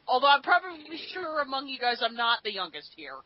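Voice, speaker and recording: A woman of twenty-seven, quippy and lively in a group commentary. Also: high voice, brisk, no room sound. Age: 20s. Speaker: commentary guest. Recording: dull lo-fi online call audio.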